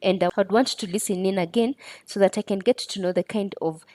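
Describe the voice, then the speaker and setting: A woman's voice: mid-range voice, fairly even intonation, a little fast, dark tone, no room sound. A woman presenting a step-by-step screen tutorial in an instructional way.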